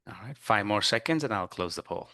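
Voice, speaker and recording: A man moderating a webinar, giving brisk announcements about a live poll. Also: fairly low pitch, animated, sharp tone, no room sound, studio quality.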